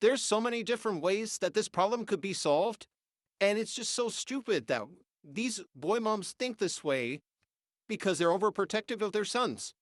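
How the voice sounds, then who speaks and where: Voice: medium pitch, lively intonation, normal speed, dry recording, clean studio sound. Nineteen-year-old ranter, stuffy-nosed, AI-filtered voice, heated and cursing. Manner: heated, ranting, swears. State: congested and sniffling. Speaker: vlogger. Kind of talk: unscripted rant.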